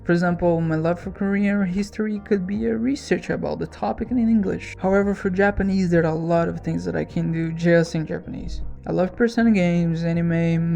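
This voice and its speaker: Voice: medium pitch, gravelly, warm tone. A speaker from Brazil with a Brazilian accent and Portuguese as a first language, narrating a personal vlog from a script as a language learner.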